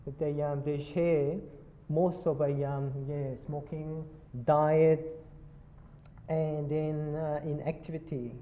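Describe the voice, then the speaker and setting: A man with a Korean accent giving a conference talk, halting and self-deprecating with many fillers. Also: on the high side, little pitch movement, warm tone. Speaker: nurse presenter. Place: conference hall. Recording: reverberant hall, faint background ambience.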